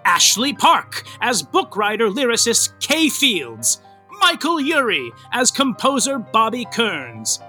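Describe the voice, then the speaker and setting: An actor playing an arch, melodramatic soap-opera announcer, delivering scripted comic narration with theatrical, mock-grand flourish. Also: male voice, extremely high pitch, wildly swooping pitch, takes it slowly, pushed and bright, soft background music, full character voice. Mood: gushing, grand.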